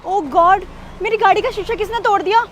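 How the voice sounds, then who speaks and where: A woman's voice: very high voice, fairly quick, a little hiss or hum. An actress playing an arrogant rich young woman who berates a guard in a short drama skit. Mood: furious. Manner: rude and shouting, later softly apologetic.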